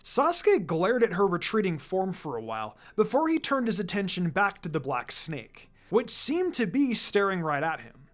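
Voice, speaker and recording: A narrator reading fan fiction aloud in an expressive storytelling style. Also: mid-range voice, normal speed, few pauses.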